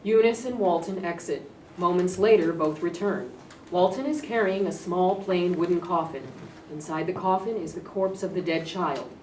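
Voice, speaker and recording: A woman's voice: on the low side, moderate pace, darkish tone. A woman reading out stage directions as scripted narration at a staged play reading. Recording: roomy, distant, faint background noise.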